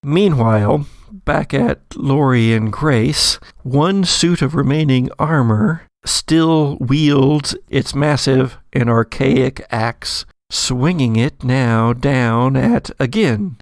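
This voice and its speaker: A man narrating a tabletop roleplaying game as game master, telling the story in a deliberate, dramatic way. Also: medium pitch, no echo.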